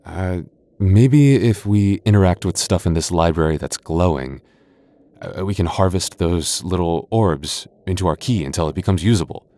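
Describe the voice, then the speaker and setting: A man's voice: sits low, moderate pace, mellow, no echo. A man voicing a playful, teasing young goth boyfriend in an ASMR roleplay, often stammering and chuckling. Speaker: voice actor.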